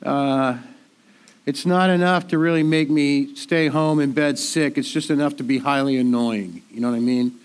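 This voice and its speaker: A man preaching a sermon in a relaxed, conversational way while congested and a little nasal from a cold, often repeating words as he thinks aloud. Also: medium pitch, moderate pace, clear, resonant tone. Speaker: pastor.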